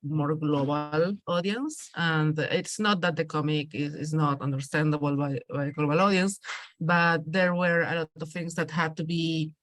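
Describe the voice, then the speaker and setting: Low-pitched woman giving thoughtful, halting answers. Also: steady tone, dry recording. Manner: halting, thoughtful, repeats words as she searches. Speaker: guest writer and digital rights activist. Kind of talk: reflective interview answer.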